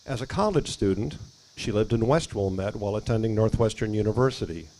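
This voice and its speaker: A man speaking at an outdoor ribbon cutting, reading measured, prepared remarks about his family. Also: on the low side, animated, darkish tone, rough recording. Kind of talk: prepared ceremony speech. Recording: faint outdoor noise with crickets.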